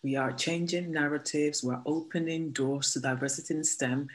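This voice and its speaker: An interview host speaking at a mid-range pitch. The host reads an introduction slowly and deliberately, then asks questions with restarts and hesitation. The delivery is plain and conversational. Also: low-quality recording. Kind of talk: interview hosting.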